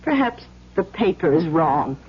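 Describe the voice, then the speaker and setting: A woman's voice: fairly low pitch, big pitch swings, measured pace, slightly rough, exaggerated voice. A woman playing an anxious, protective wife and mother in an old radio drama, hesitant and defensive. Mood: doubtful. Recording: muffled vintage radio recording.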